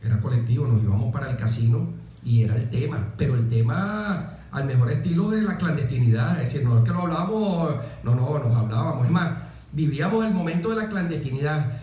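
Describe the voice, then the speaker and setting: Man, Venezuelan accent, middle-aged, dark relaxed timbre, conversational storytelling, emphatic repetition, strongly reverberant room. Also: medium pitch, a little fast. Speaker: interview guest, military officer. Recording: very echoey room.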